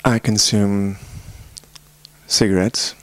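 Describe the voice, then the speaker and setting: A man's voice: on the low side, level tone, slow. A man from New York performing slam poetry in a sardonic, chanting, emphatic list-like delivery. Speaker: slam poet.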